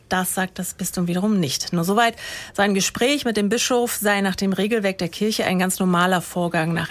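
A woman reporter lays out the facts of a story in a studio exchange. She speaks clearly and explains step by step, at a middle pitch. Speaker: radio reporter. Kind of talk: reporter answering in a studio interview.

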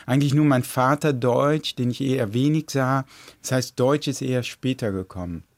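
A man whose first language is Dutch, a science journalist guest explaining things in a relaxed radio talk show interview, laughing easily. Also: medium pitch, moderate pace, no echo. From Netherlands.

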